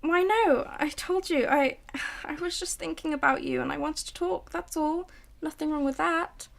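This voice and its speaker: A woman's voice: high voice, moderate pace, vocal fry, voice pushed up. A young woman voicing an uneasy housewife who hesitates, stammers and covers her worry with forced cheer. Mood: nervous. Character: uneasy housewife and mother, nervously evasive.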